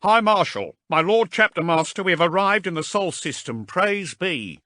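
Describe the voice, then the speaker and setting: A man voicing a formal male starship commander who proclaims loudly. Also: high voice, lively intonation, moderate pace, dry recording, clean studio sound, voice pushed up. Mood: proclaiming. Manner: formal, ringing announcement.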